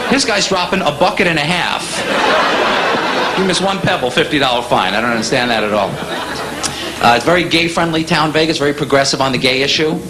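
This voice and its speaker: A man with a New York accent performing wry, conversational stand-up comedy on stage to a live audience. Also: a little high. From New York, USA. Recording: echoey live club, loud audience laughter and noise. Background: audience laughter, crowd noise.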